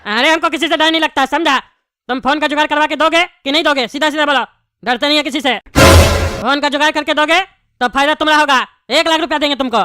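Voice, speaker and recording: Casting: brash, demanding cartoon schemer voiced by a woman, high-pitched, faint noise on the recording. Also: fast, bright, forceful tone. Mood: defiant, demanding. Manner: blunt, demanding. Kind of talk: comedy cartoon voice acting.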